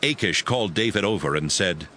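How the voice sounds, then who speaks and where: A man's voice: deep voice, expressive, fairly quick, bright, forceful tone, slight background noise. A man narrating a dramatized audio Bible as scripted storytelling.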